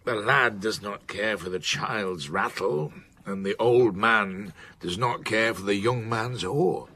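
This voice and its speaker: An actor playing an elderly, opinionated man of letters in a period radio drama, speaking in measured, emphatic, formal pronouncements. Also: lowish voice, bright, forceful tone, a little hiss or hum. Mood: ponderous, reflective.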